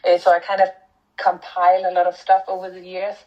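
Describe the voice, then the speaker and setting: A middle-aged woman with a Danish accent talks about her work in a reflective way, often pausing on fillers and restarting her sentences. Her voice sits fairly low. The recording is a little dull and lo-fi. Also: monotone, warm tone. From Denmark. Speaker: author and anthropologist. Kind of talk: thoughtful interview answers.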